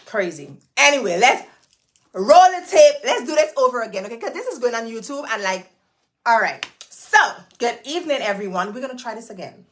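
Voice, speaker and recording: A woman's voice: on the high side, expressive, brisk. A woman hosting a casual livestream, greeting viewers by name and repeating herself in a chatty, hyped-up way, talking into her phone. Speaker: host of a livestream. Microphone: phone camera.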